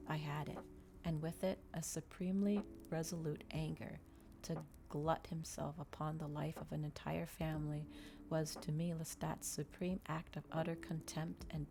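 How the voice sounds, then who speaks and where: A woman reading a story aloud in ASMR style, soft-spoken and measured, as a streamer narrating a novel. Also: sits low.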